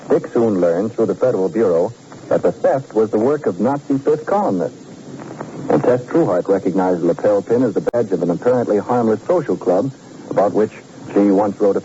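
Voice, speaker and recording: Deep-voiced vintage radio announcer. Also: male voice, dark tone, dull audio. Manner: brisk and dramatic. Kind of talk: 1940s radio serial narration. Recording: old radio broadcast, faint hiss.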